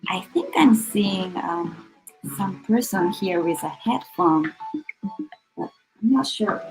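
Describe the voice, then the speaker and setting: A woman's voice: mid-range voice, big pitch swings, slow pace, music in the background, low-quality recording. A woman hosting a live online workshop in an informal, slightly hesitant way. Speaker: workshop host. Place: online video session.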